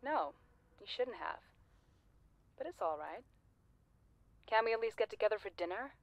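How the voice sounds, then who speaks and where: A woman's voice: mid-range voice, animated, moderate pace, no echo, lightly acted. An actress playing a lonely, needy woman in an audio play, wistful and pointed as she clings to an old school friend. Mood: insistent. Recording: slightly dull, dry recording.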